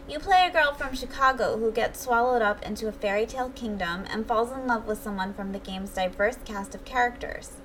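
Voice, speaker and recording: A woman's voice: a little high, fairly bright tone, slight background noise, rough recording. A woman narrating a scripted review as a channel host, speaking articulately in full, read-aloud sentences.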